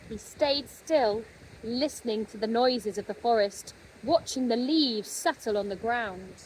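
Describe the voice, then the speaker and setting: A woman narrating a children's story expressively, with gentle dramatic pauses. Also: fairly high pitch, slight background noise, rough recording. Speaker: storybook reader.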